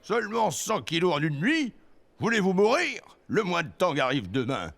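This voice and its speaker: An actor voicing a bullying wolf monster foreman in an animated film, gravelly and quick to cringe before his superiors. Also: high voice, heavily acted. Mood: furious, threatening. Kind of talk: animated film dub acting.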